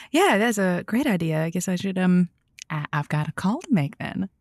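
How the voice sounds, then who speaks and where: A flirty late-night lonely-hearts radio hostess in an audio drama. She speaks a little low, with a cutesy, yearning manner and a close, dry sound. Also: sing-song, measured pace, no echo, exaggerated voice. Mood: flustered.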